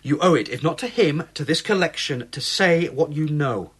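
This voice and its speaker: An actor playing a tired but persistent police inspector in a radio drama, measured and insistent as he questions. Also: on the high side, animated, fairly bright tone, slightly rough.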